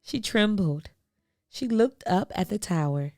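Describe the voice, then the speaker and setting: A woman reading a children's storybook aloud, expressively, with deliberate phrasing and dramatic pauses. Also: mid-range voice, animated, mellow, dry recording, clean studio sound, soft background music. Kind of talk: expressive picture-book read-aloud.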